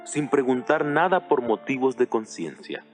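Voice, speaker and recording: A man's voice: medium pitch, natural voice. A man playing the Apostle Paul as an earnest, solemn teacher exhorting his congregation in a dramatized audio Bible, with music behind him. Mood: urgent exhortation. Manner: solemn, exhortative, deliberate phrasing.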